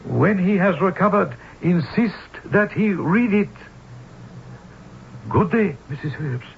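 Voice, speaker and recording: A ceremonious gentleman from a radio mystery, with a high, creaky voice. He speaks formally and haltingly, full of polite menace. The sound is thin and phone-like. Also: expressive, heavily acted. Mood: ominous. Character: courteous, ominous Japanese gentleman warning of cursed bells. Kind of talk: 1970s radio mystery acting. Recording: muffled phone-like old radio with hiss.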